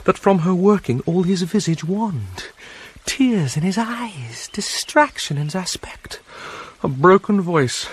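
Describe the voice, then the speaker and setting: An actor with a British accent playing an anguished, self-loathing young prince in a theatrical Shakespearean soliloquy, breathy and brooding. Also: male voice, sits high, very animated, takes it slowly, heavily acted. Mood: impassioned anguish. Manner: brooding, swinging from hushed musing to ranting.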